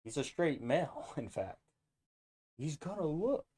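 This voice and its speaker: A man's voice: fairly high pitch, sing-song, unhurried, a little mellow, husky. A man hosting a casual reaction video, rambling and interrupting himself as he goes off on tangents.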